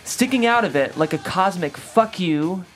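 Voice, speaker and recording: A woman's voice: fairly low pitch, animated, moderate pace, faint music behind. A woman hosting and reading aloud, as at a script table read. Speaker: host and script reader. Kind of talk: reading screenplay action aloud, then interviewing the writer.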